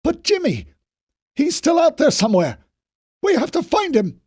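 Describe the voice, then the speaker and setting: A man's voice: very high voice, huge pitch swings, normal speed, a little mellow, dry recording, pitched above the performer's own voice, exaggerated voice. A panicked male scientist pleads in a strained, raised voice, as a male narrator puts him on. He sounds urgent and desperate, far above the reader's normal register. The sound is dry and close.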